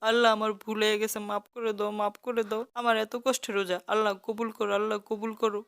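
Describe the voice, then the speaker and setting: A woman's voice: medium pitch, flat delivery, fast, fairly bright tone, smooth, natural voice. A woman with a Bangladeshi accent voicing a cheeky, dramatic young daughter in a cartoon comedy. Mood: panicked pleading.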